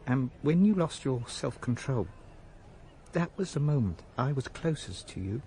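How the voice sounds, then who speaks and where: A man's voice: medium pitch, big pitch swings, measured pace, warm tone, slight background noise. A middle-aged English shopkeeper in a radio play, diffident and wistful. He speaks hesitantly and relishes mangled, fancy words. Faint ambience sits behind him. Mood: wistful, musing. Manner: hesitant, muses with odd mangled long words. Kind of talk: British radio drama acting.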